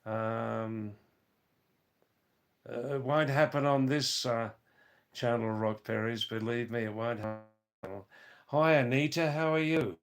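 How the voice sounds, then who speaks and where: Slow, hoarse man on a live stream. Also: mid-range voice. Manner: halting, with many fillers, addresses viewers by name. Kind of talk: live stream chat reading comments. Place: hill village in Nepal.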